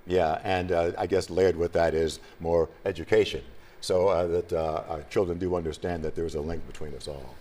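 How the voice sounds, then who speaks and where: A man's voice: deep voice, steady tone, normal speed, warm tone. A man moderating a TV panel discussion, asking probing questions with some fillers.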